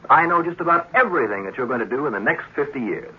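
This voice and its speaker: Brisk, swooping, chatty time traveller. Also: male voice, mid-range voice, animated, breathy edge. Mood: insistent, confident. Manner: fussily polite, talkative, insistent. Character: brisk, chatty, self-assured young male time traveller, meddling grandson. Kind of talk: 1950s radio drama acting. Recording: muffled, noisy 1950s radio recording.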